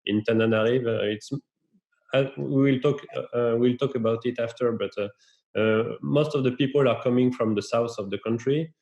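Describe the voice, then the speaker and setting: A man with a French accent, a guest researcher answering in a webinar interview, halting with many fillers and restarts. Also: medium pitch, steady tone, a little mellow, roomy sound, muffled recording.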